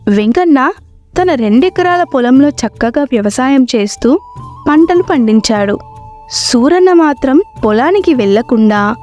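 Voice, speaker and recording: A woman's voice: high-pitched, a little mellow, dull audio. A woman storyteller narrating a scripted moral tale. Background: faint background music.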